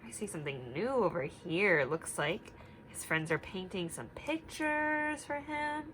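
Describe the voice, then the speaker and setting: A woman reads aloud to young children as their teacher, pausing to ask and explain. Her voice is bright and very expressive, taken at a slow pace, with faint noise behind it. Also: fairly low pitch, low-quality recording. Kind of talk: children's read-aloud with questions to listeners.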